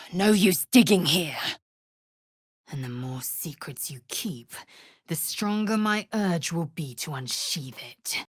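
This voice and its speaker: A woman playing a proud, fierce githyanki warrior in a fantasy video game, with a husky, raspy voice and a clipped, imperious, formal delivery. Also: on the low side, animated, takes it slowly, pauses often, dry recording, clean studio sound, full character voice. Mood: stern.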